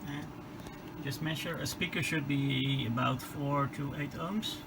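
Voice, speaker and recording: A man giving a hands-on repair demonstration, muttering haltingly and tentatively through each step as he thinks aloud. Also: mid-range voice, fairly even intonation, gravelly, background noise. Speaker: host of a radio repair video. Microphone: handheld camera.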